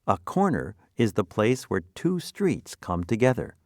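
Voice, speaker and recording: A man narrating a scripted vocabulary lesson in deliberate, clearly separated phrases. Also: lowish voice, sing-song, no echo, studio quality.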